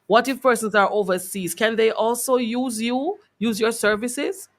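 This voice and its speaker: A woman's voice: on the high side, normal speed. A woman hosting a faith-based radio show in a conversational interview style.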